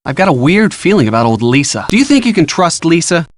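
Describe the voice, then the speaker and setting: A man's voice: fairly high pitch, very animated, quick pace, no room sound. A man voicing an easygoing young boyfriend in a video game, talking in a casual, playful, teasing way. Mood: wary, teasing.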